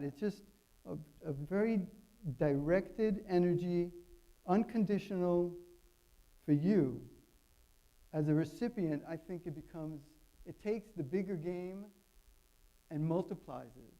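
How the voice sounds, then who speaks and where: A man with a notably high voice and a warm, dark-edged timbre talks informally from a stage. He builds his thoughts in short, halting phrases, often repeating a word as he goes. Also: highs cut off. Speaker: guest speaker. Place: expo stage.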